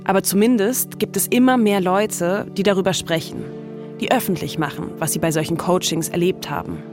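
A woman's voice: on the low side, normal speed, over music. A woman hosting a narrative podcast, reading scripted storytelling in a conversational way with short dramatic sentences. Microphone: close studio microphone.

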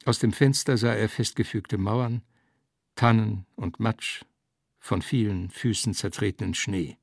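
A man narrating literary prose in a deliberate, emphatic way, breaking sentences into short phrases. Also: lowish voice, steady tone, creaky, no echo, clean studio sound.

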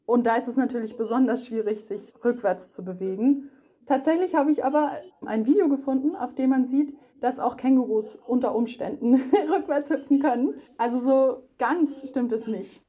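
A woman speaks as an animal expert giving explanations to children. Her voice is rather high yet mellow and soft-edged, and her manner is careful and explanatory. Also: normal speed. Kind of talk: explanatory interview answers for children.

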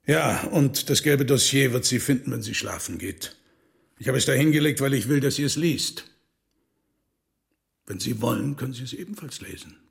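An actor playing a menacing, possessive rich father in a dubbed 1950s thriller, speaking in a measured, condescending way. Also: medium pitch, lively intonation, vocal fry. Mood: sardonic menace.

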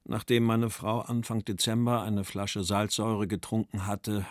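An actor voicing a weary, wry post-war writer reading his letters and poems in a measured, carefully articulated way. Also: fairly low pitch, level tone, no room sound, natural voice. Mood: sombre, weary. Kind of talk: literary reading of letters and verse for a radio feature.

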